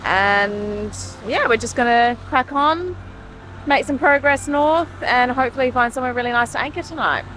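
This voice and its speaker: A woman's voice: high voice, normal speed, fairly bright tone, slight background noise, rough recording. A woman in her thirties talking casually to a handheld camera on the deck of a catamaran, easygoing and matter-of-fact. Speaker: sailing vlogger. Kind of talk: casual vlog narration. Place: on deck of a catamaran at sea, outdoors. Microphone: handheld camera at arm's length.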